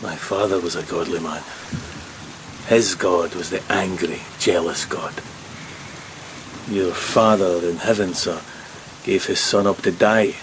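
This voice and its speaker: A man playing an earnest, intense ship's officer who speaks formally and with measured care in a horror audio play. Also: deep voice, fairly even intonation, background noise. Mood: bitter. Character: earnest, bitter, rationalist male ship's officer. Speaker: actor in an audio drama. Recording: loud rain and thunderstorm behind.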